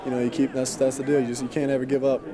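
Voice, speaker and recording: A male player rattles off quick, repetitive points in a flat voice during a postgame interview. A loud crowd is heard behind him. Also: mid-range voice. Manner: fast, repetitive, emphatic.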